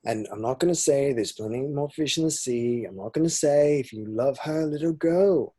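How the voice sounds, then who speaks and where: A man's voice: mid-range voice, normal speed, warm tone, breathy edge, close to an everyday voice. A man playing a crude, cheerful young slacker in an amateur comedy table read, with sweary, matey banter. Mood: consoling. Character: crude, cheerful, loyal young male slacker best mate. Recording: home-recorded remote read.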